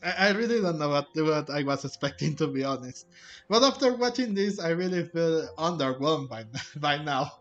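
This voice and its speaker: A man's voice: on the high side, lively intonation, moderate pace. A man giving casual let's play commentary over a game, musing and hesitant as he reacts. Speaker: gamer, let's play host. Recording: dull lo-fi mic over game music. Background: game music.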